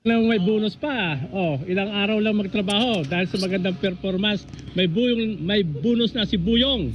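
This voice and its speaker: A man chatting casually, heard in a muffled, low-fidelity video clip. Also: moderate pace, a little hiss or hum. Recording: dull lo-fi clip audio.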